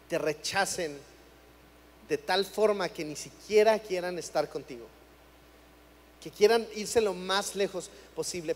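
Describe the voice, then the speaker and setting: A high-voiced young man from Chihuahua, Mexico, preaching a sermon in a conversational tone and often doubling words as he begins a thought. His voice carries a long reverb through a church hall. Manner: conversational, repeats words when starting phrases.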